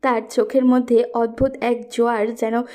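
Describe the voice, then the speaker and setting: Mellow, higher-voiced woman narrating a romance. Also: little pitch movement, moderate pace. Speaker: story narrator. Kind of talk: scripted romantic story narration.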